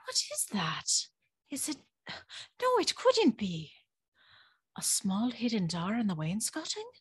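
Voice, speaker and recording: A woman's voice: on the high side, huge pitch swings, slow, husky, dry recording, full character voice. An actress playing a timid, curious, polite young servant girl in an audio drama, telling her story in a hesitant, wondering way. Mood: nervous, curious. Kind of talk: audio drama acting with first-person storytelling.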